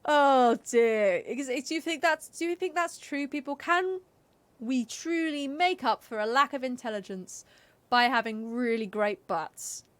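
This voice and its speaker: A woman's voice: high-pitched, unhurried, a bit bright, dry recording. A woman giving casual live-stream game commentary, chatty and playful as she muses aloud. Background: game music.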